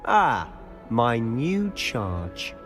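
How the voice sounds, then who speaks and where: A man's voice: mid-range voice, big pitch swings, very slow, a bit bright, soft background music, heavily acted. A man playing a cheeky, charming teenage wizard schoolboy in a video game, playful and mock-formal. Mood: playful, friendly. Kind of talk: scripted video game acting.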